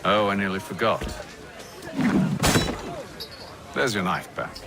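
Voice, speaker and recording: Cool, sardonic gentleman adventurer. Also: deep voice, fairly quick. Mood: cool defiance. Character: self-assured, dry-witted gentleman adventurer at sea. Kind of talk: dramatic video game voice acting. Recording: music behind the voice.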